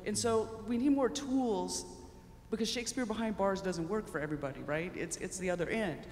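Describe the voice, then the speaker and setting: A man's voice: high voice, brisk, darkish tone, slight background noise. A man in the audience, a teacher, asking the panel a passionate, rambling question. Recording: echoey hall, long reverb.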